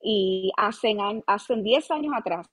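A woman's voice: medium pitch, lively intonation, takes it slowly, breathy edge. A woman in her late thirties from Puerto Rico, with a Puerto Rican Spanish accent, speaking as a pastor and interview guest, earnest and humble, with frequent "eh" fillers. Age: 30s. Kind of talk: podcast interview.

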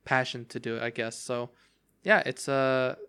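A man's voice: mid-range voice, level tone, moderate pace, fairly bright tone, clear, resonant tone. A young man hosting a casual solo podcast about a game, rambling with lots of fillers and restarts, his voice strained and cracking from long talking. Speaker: podcast host.

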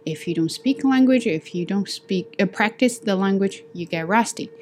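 A woman's voice: mid-range voice, normal speed, slight background noise. Chatty woman with a Chinese accent. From China. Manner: chatty, animated, acts out dialogue. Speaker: podcast host. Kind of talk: casual solo storytelling.

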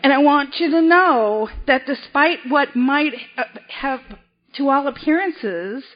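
Woman, convention speaker, high voice, restarts often, muffled hall recording. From South Boston, Massachusetts. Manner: self-deprecating, halting, frequent restarts. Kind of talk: personal recovery story at a podium.

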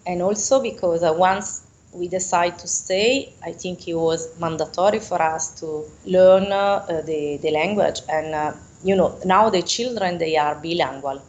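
A woman's voice: fairly low pitch, measured pace, hardly any pauses, a little mellow, low-quality recording. A middle-aged native Italian woman speaking English with an Italian accent, explaining things earnestly and searching for words in a conversational interview. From Italy.

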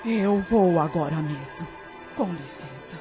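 An actress playing a worried, deferential housemaid in a radio drama. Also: female voice, fairly low pitch, animated, slow, music in the background. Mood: anxious. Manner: timid, hesitant. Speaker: maid.

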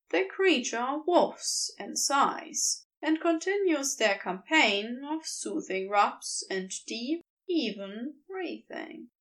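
A woman reading fan fiction aloud as a podfic, unhurried and expressive. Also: a little high. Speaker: podfic reader. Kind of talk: audiobook narration of fan fiction.

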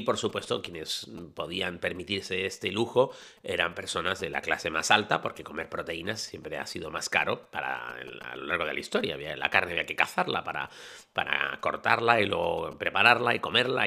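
Lively gravelly-voiced man. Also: low-pitched. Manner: lively, chatty, swings from loud bursts to quiet asides. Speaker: podcast host. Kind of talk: casual explanatory podcast. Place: travelling in Italy.

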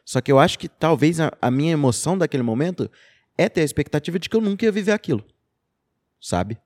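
A man's voice: a little high, animated, fast talker, darkish tone, dry recording. Young Brazilian man, dry close sound. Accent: Brazilian Portuguese. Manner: loose and talkative, with slang and frequent "tipo" and "sabe". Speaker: podcast co-host. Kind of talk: casual chat.

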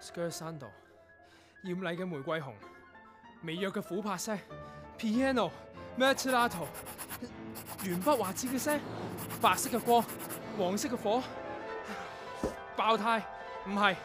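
Guy acting a tortured young composer in a radio play, high voice, hong kong cantonese, brusque, music playing behind. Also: animated, unhurried, long pauses. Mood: intense, frantic dictation. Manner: curt, brusque, dramatic. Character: tormented young male composer, curt, anguished, losing control of his ears.

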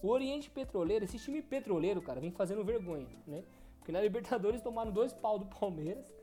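A man's voice: sits high, lively intonation, a little fast, mellow, soft background music. A young Brazilian man co-hosting a casual football podcast, running through results and stumbling over foreign team names. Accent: Brazilian Portuguese. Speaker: podcast co-host. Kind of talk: casual sports podcast chat.

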